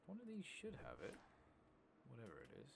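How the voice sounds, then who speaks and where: A man doing soft-spoken, sometimes whispered ASMR game commentary, muttering casually to himself at a close microphone. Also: medium pitch, wildly swooping pitch, a little fast, gravelly, heavy background noise. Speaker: host of a gaming ASMR playthrough. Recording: keyboard typing and mouse clicks behind.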